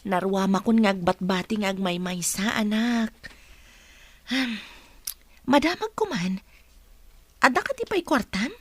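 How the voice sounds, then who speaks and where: A woman's voice: medium pitch, lively intonation, a little slow, pauses often, no room sound. A woman playing a worried, admonishing Ilocano mother in a village comedy radio drama. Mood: gently admonishing.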